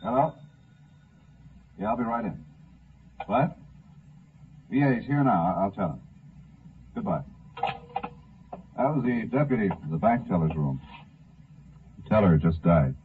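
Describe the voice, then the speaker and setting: Low, laconic country doctor. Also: male voice, expressive, measured pace, pauses often. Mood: matter-of-fact. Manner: dry, laconic. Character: matter-of-fact male small-town hospital doctor, obliging. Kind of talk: 1950s radio drama acting. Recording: muffled narrow 1950 radio recording.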